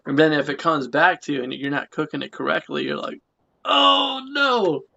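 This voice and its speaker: Young male host, late twenties, gravelly and bright-toned, rambling casual chat on muffled lo-fi audio. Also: fairly high pitch, expressive, moderate pace. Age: late 20s. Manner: rambling, repeats phrases. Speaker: podcast host.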